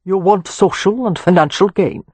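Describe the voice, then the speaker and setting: A haughty old Irish earl with an Anglo-Irish accent, as an audiobook reader puts him on, cold, commanding and dismissive. His voice sits a touch higher and louder than the reader's narration, with a husky edge. It sounds dry, with no room around it. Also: moderate pace, no echo. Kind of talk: audiobook character reading.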